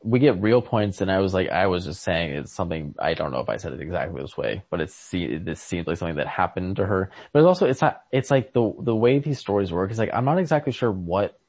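Low-voiced man thinking out loud. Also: animated, breathy edge, dry recording. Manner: halting, searching for words. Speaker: podcast host. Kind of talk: casual literary discussion. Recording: lo-fi home recording.

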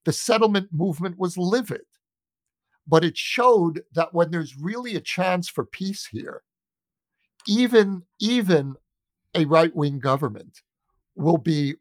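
An American man in an earnest political discussion, speaking as a guest with a deliberate, emphatic manner that repeats phrases for weight. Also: fairly high pitch, no echo, clean studio sound. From United States. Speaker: journalist and author interviewed as guest.

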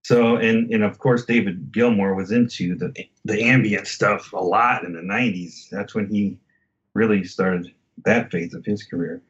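A man's voice: fairly low pitch, steady tone, moderate pace, highs cut off. A man in a casual album discussion as a podcast host, talking in a reflective, hesitant, conversational way.

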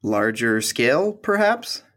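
An actor playing a shy, awkward young map conservator in a romantic comedy audio play, stammering and rambling nervously. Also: male voice, mid-range voice, big pitch swings, takes it slowly, fairly bright tone. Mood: shy, hopeful. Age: young adult.

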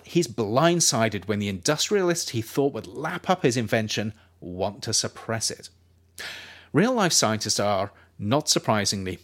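A middle-aged man narrates scripted non-fiction in the role of author-reader. His delivery is measured and articulate, with a reflective manner and a lively, widely moving pitch. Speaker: author reading his own book chapter as narrator.

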